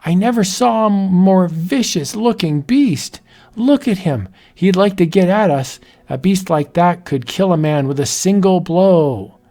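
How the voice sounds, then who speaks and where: A man voicing an excited male zoo visitor in a read-aloud story. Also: sits high, unhurried, voice pushed up. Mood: awed.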